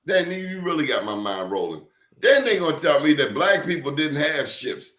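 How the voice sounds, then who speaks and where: A man's voice: fairly high pitch, sing-song, normal speed, a bit bright, reverberant. A man talking as a professor guest in casual conversation, telling emphatic stories and throwing out rhetorical questions.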